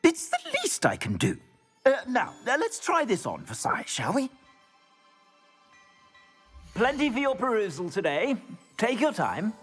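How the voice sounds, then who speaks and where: A man's voice: sits high, wildly swooping pitch, measured pace, pauses often, a bit bright, slightly rough, faint music behind, heavily acted. A man playing a grateful, florid and courteous clothier in a video game. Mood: eager, obliging. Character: grateful, theatrical male shopkeeper and clothier. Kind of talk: video game character acting.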